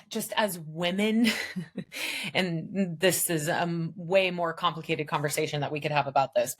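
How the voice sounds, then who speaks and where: A woman's voice: fairly low pitch, fairly bright tone. A woman guest in a podcast interview, speaking emphatically and casually about mindset, with an occasional light swear. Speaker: guest, business founder. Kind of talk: motivational interview talk.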